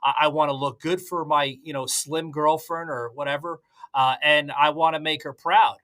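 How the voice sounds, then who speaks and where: A man's voice: a little high, steady tone, moderate pace, pushed and bright. A man hosting a podcast interview, asking casual, rambling questions. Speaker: podcast host.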